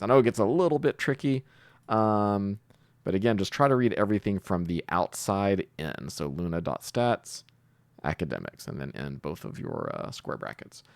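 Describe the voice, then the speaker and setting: A man hosting a casual coding tutorial, thinking aloud with hesitant pauses as he improvises. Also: sits low, no echo. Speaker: tutorial host, programming instructor.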